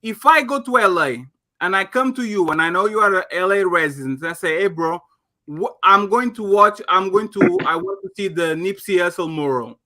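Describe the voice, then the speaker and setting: A man's voice: high-pitched, expressive, moderate pace, sharp tone. A man whose first language is Portuguese, arguing his point in a heated casual debate, cutting in and repeating words as he pushes back. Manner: interrupts, repeats words when pushing back.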